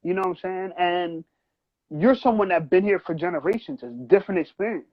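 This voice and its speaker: A man's voice: sits high, fairly quick, muffled recording. A man, first generation with Trinidadian parents, co-hosting a podcast and arguing his point in a heated casual debate, often adding "you know what I'm saying". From United States, child of Trinidadian parents. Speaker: podcast co-host.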